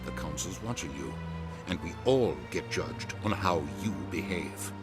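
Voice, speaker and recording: A senior male official in a video game. His deep voice is grave and measured, with music clearly playing behind it. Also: expressive.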